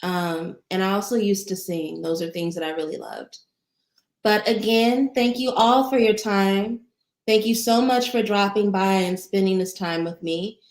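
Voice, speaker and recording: A woman with a soothing voice, a meditation teacher answering chat questions in a casual, gentle, encouraging livestream. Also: medium pitch, steady tone, unhurried. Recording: roomy echo.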